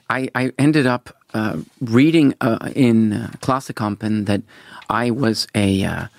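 A man's voice: medium pitch, creaky, no room sound. A middle-aged man from Venezuela answering questions in a political interview, earnest and often repeating words as he starts a sentence. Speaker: interview guest.

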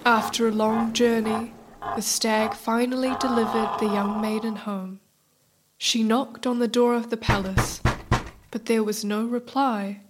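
A woman's voice: a little high, flat delivery, unhurried, background noise. A woman narrating a scripted folktale as the storyteller of a radio play. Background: music.

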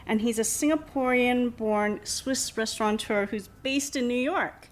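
Higher-voiced woman interviewer on location. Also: measured pace, a little hiss or hum. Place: restaurant.

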